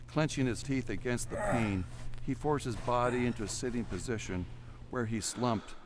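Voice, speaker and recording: A man's voice: mid-range voice, normal speed, background noise. A man reading scripted narration for an audio drama in a dramatic storytelling style.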